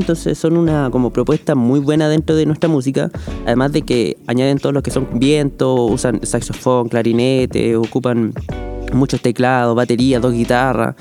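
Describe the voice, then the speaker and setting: A man's voice: mid-range voice, mellow. A young Chilean man, a music panelist on a chat show, recommending concerts enthusiastically with many fillers. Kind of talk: radio-style panel chat.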